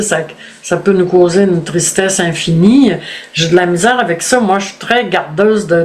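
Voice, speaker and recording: A woman who hosts the interview, asking hesitant questions with many fillers. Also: fairly low pitch. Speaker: host. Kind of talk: casual interview talk.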